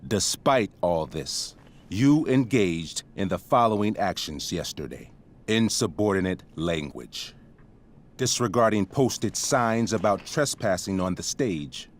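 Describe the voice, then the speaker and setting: Low-voiced, pompous school principal. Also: male voice, animated, takes it slowly, slight background noise. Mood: officious. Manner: formal, verbose, officious. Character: stern, pompous middle-aged male school principal. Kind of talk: dramatic video game acting.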